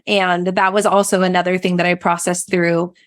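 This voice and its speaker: A woman's voice: fairly low pitch, flat delivery, moderate pace, no room sound, clean studio sound. A woman speaking as an interview guest, telling personal stories in a conversational way. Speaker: podcast guest, food blogger.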